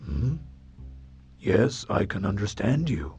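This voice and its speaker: A storyteller voicing a sad, weary lion in a small zoo enclosure, who speaks slowly and plainly for a children's story. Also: deep voice, sing-song, gravelly, a little mellow, faint music behind, full character voice. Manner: slow, plain, unhurried speech.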